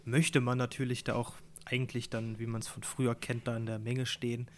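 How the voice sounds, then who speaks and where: Young man, mid pitch, hesitant, reflective, lots of fillers, casual podcast chat. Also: little pitch movement, brisk, slight background noise. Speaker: podcast co-host.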